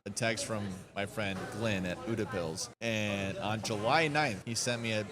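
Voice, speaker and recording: A man's voice: on the low side, a little slow, crowd noise. A man in his thirties, a brewery guest, talking eagerly in casual chat with many filler words. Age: 30s.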